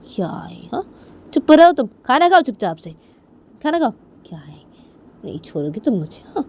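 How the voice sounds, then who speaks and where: A woman's voice: high voice, sing-song, moderate pace, darkish tone, a little hiss or hum, voice pushed up. A woman voicing an exasperated dinosaur father in a story, blustering and repeating himself insistently. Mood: irritated, taunting.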